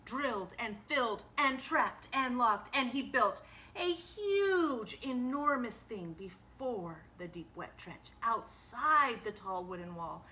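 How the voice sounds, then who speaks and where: A woman reading a picture book aloud like a teacher to young children, expressive and dramatic, with hushed sound words. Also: fairly high pitch.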